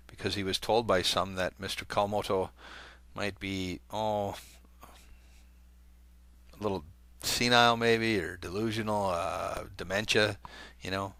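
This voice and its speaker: Male channel host, low-ish voice with a forceful edge, reads a letter aloud conversationally, stumbling now and then, dry studio sound. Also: no echo. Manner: conversational, with hesitant fillers and self-corrections. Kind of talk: reading a letter aloud to viewers.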